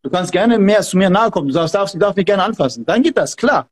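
A man's voice: sits high, expressive, fast talker. A man who talks in an emphatic, fervent way and repeats himself, giving advice as a martial arts instructor in a podcast conversation. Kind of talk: passionate podcast talk.

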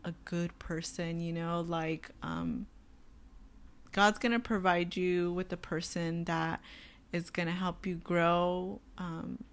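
Raspy young woman giving earnest advice. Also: lowish voice, expressive, slow pace. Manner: chatty, many filler words, earnest. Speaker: co-host of a faith podcast.

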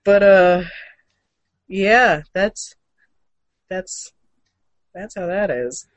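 A woman's voice: mid-range voice, drawn-out words, no echo, highs cut off. Woman, irreverent show host, casual chat, joking, sweary, dry close sound. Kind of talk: casual gaming and comics news chat.

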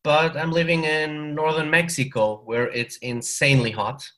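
A man's voice: on the high side, steady tone, moderate pace, fairly bright tone. A man in his forties with a German accent, a podcast guest talking through his answers with small restarts. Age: 40s. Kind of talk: casual interview chat. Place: hot room at home.

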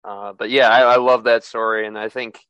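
A young man hosting a sports podcast, talking in a relaxed way with lots of "uh" and "like". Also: medium pitch, normal speed, clear, resonant tone, no room sound, muffled recording. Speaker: podcast host.

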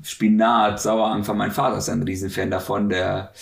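Low, gravelly man in an echoey room. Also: flat delivery, moderate pace. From Russia. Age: 30s. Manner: hesitant, many filler sounds. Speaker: interviewee. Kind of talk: conversational interview. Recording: echoey room, distant mic.

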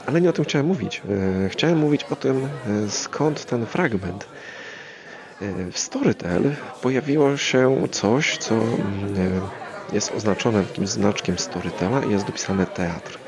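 Male podcast host, deep voice with vocal fry, loose rambling chat, street-like murmur around him. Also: measured pace, crowd noise. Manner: hesitant, rambling, thinking aloud. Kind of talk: casual solo podcast chat.

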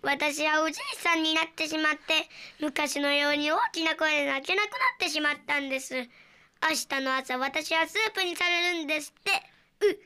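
A child acting a sad, polite old rooster who crows in drawn-out calls in a children's radio play. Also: high voice, steady tone, pushed and bright, slight background noise. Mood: plaintive. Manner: drawn-out crowing, polite speech.

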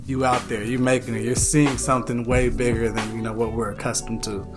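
An upbeat, slangy speaker of middling pitch chats casually, leaning on street slang. Music plays clearly behind the talk. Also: little pitch movement, fairly quick. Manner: slangy and upbeat, says dope a lot. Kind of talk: casual chat.

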